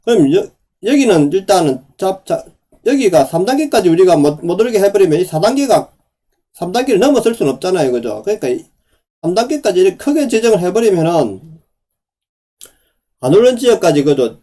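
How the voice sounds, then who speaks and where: A middle-aged man with a sore, hoarse throat, giving insistent solo commentary on housing policy and asking the viewer to agree again and again. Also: high-pitched, sing-song, brisk, mellow. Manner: repeats "그죠?" to the viewer, rhetorical and insistent. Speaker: real estate commentator.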